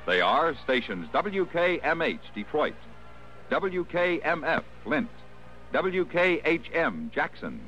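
A man announces in vintage American radio style, with a bright, forceful voice and lively intonation. The old broadcast is slightly dull, with music behind. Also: medium pitch. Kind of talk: 1950s radio announcing, scripted credits.

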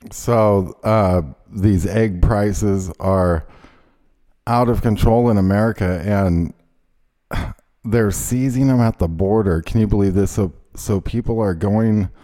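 Brief: male host, rambling indignant rant, low and mellow voice. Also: takes it slowly. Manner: rambling, indignant, many fillers. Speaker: show host. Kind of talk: ranting casual chat.

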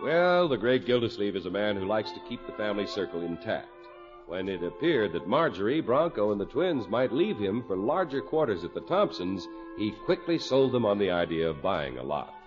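A man's voice: fairly low pitch, big pitch swings, normal speed, music in the background. A man announcing in scripted 1950s radio style, with polished, emphatic salesmanship. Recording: dull 1950s radio recording.

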